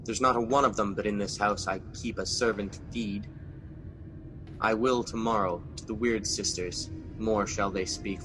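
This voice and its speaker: A man playing a haunted, low-voiced king. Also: close to an everyday voice, rough recording. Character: Macbeth, a haunted, fearful king. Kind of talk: radio drama acting.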